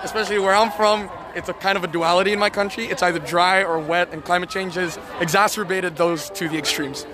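High-voiced man from Indonesia, noisy crowd. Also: steady tone, fairly quick, few pauses. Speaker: interviewee at a climate strike. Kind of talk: street interview. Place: outdoor street protest. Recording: outdoor crowd noise.

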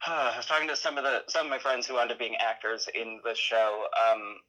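A man with a bright, forward voice talks as a guest in a casual interview. He is halting and hesitant, working out his thoughts aloud as he goes. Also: medium pitch, little pitch movement, low-quality recording. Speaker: interview guest, audio drama creator.

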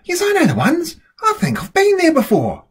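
A woman's voice: very high voice, wildly swooping pitch, normal speed, voice pushed up, heavily acted. Woman as a stubborn little girl, wildly swooping. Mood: coaxed, eager. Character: little girl Lola, stubborn fussy eater. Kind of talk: storybook character voice.

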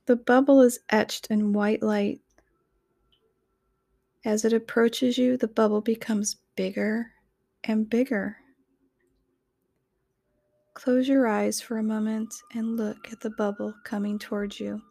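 Slow, mellow woman guiding meditation. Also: on the high side, level tone, vocal fry, no room sound, studio quality. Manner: soothing, gentle, measured delivery. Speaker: meditation guide. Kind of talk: guided meditation narration, scripted.

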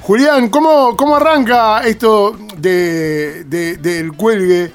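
An Argentine man hosting a casual radio interview, repeating words haltingly as he forms his questions. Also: sits high. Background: music.

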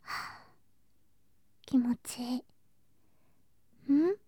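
A woman acts out a sweet, teasing younger girl cousin at bedtime in an ASMR voice drama. Her voice is high, soft and breathy, often slipping into whispers. She talks slowly and drowsily, coaxing with childlike affection, in very dry, close sound. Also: no echo, heavily acted. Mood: tender whispering. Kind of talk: ASMR situation voice drama, intimate bedtime roleplay.

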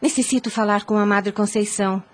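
A woman's voice: mid-range voice, fairly even intonation, brisk, lightly acted. An actress playing an anguished, devout mother in a radio soap opera, speaking in a formal, pleading and emphatic way. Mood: resolved. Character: anguished, devout mother pleading to protect her young daughter.

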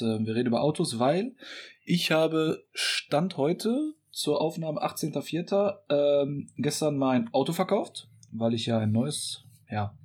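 A man co-hosting a casual podcast over an online call, chatty and quick to tease, laughing often. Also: mid-range voice, a little mellow, breathy edge. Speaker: podcast co-host. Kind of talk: casual chat. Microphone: online call mic.

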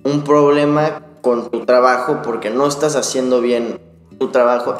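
Young man podcasting in heavy echo. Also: medium pitch. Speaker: podcast co-host. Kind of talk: conversational self-help podcast talk. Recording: echoey long reverb, music behind the voice. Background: music.

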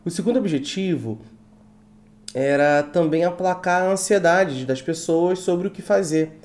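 A man's voice: a little high, a little slow, a little mellow. A young man from Brazil, a guest on a podcast, speaking earnestly and a little formally with some hesitations. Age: young adult. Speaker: guest, student event organizer. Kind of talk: casual podcast interview.

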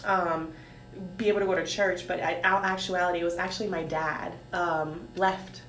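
A woman from Haiti telling her life story in a casual talk, thoughtful and pausing with many ums. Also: fairly even intonation, a bit bright. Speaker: guest sharing her story. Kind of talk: casual conversation. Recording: roomy with faint rumble.

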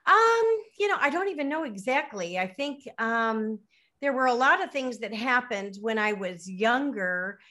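Brief: woman, late fifties, guest, bright voice, hesitant with many ums. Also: on the high side, animated, a little slow. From United States. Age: 50s. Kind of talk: casual interview talk.

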